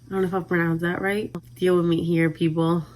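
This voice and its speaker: A woman in her twenties with a Dominican accent answers questions in a relaxed, chatty vlog. Her voice is soft, and she often lets the ends of her lines fade out quietly. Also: on the low side, brisk. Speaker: vlogger. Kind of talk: casual Q&A vlog.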